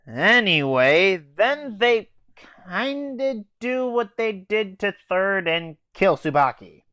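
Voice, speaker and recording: A man's voice: high-pitched, very animated, slow, pushed and bright, no room sound, clean studio sound. A teenage boy casually recapping an anime episode in an offhand, hesitant way with fillers and swearing. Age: teens. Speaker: anime reviewer.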